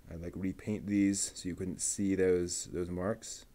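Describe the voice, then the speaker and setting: A man's voice: low voice, level tone, measured pace, warm tone. A man thinking aloud and muttering to himself as he puts together a model kit, reading out part numbers in a casual running commentary. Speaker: show host.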